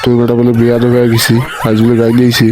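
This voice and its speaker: A man's voice: mid-range voice, completely flat delivery, racing, over loud music, natural voice. A man voicing a quarrelsome, indignant village man in a comic cartoon, scolding and repeating himself. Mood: accusing. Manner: scolding, repetitive, argumentative. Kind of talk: comic cartoon dialogue in rural dialect.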